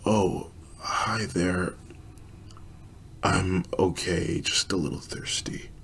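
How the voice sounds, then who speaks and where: A man voicing a frail, dying middle-aged woman who speaks in a weak, slow, whispery voice. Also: sits low, fairly even intonation, gravelly, a bit bright, breathy edge, full character voice. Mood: weak, resigned.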